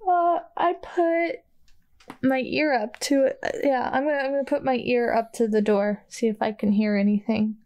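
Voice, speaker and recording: A woman's voice: a little high, steady tone, normal speed, darkish tone. A woman playing in a tabletop role-playing game, casually describing her moves as she thinks aloud, a little hesitantly. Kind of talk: casual improvised actual-play chat.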